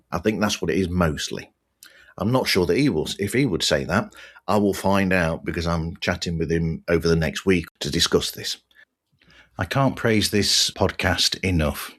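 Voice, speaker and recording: A man hosting a podcast, talking in an earnest, measured, conversational way as he reviews and interviews. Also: low voice, fairly bright tone. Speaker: podcast host.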